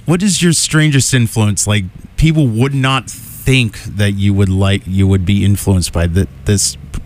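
A man with a fairly low voice acts as interviewer in a casual group chat. He talks informally and drops in short reactions. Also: a little slow.

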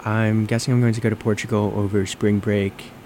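A man's voice: on the low side, level tone, brisk, darkish tone. A young man in casual conversation, leading the chat and asking questions. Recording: noisy room with air-conditioning hum.

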